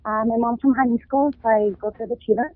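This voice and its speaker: High-voiced woman on phone-like line. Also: steady tone, quick pace, a crowd in the background. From born in Mexico, raised in Southern California. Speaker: podcast guest. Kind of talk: casual personal storytelling with Spanish mixed in. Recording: phone-like, narrow-band call audio.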